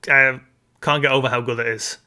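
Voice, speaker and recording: A man with a bright, forceful tone and a mid-range pitch. He speaks as a podcast co-host, in an informal, conversational style suited to chatting about films and games. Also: fairly even intonation, brisk, clean tone. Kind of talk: casual chat about video game films and games.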